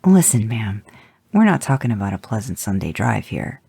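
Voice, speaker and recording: A sardonic, curt monster hunter, read by a man in a low, dark, gravelly voice. The dry, close sound keeps every clipped line up front. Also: big pitch swings, normal speed, no room sound, heavily acted. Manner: curt, sassing. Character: gruff adult male monster hunter, sardonic.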